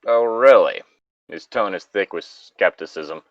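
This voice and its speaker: A man's voice: low-pitched, a little slow, no room sound, lo-fi sound. A man co-hosting a story reading, switching between narration and casual, joking asides. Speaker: co-host reading stories aloud.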